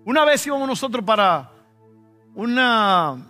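Man, pastor, Dominican Spanish accent, storytelling sermon, emphatic, sharp bright tone, soft music underneath. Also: very high-pitched, big pitch swings, unhurried. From Dominican Republic. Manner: conversational preaching with personal stories, rising to emphatic bursts.

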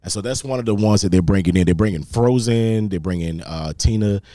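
A man's voice: lowish voice, a little fast, dark tone, no room sound. A man in his thirties, an acting coach, chatting casually and joking on a podcast. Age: 30s. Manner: laid-back, joking, says bro a lot.

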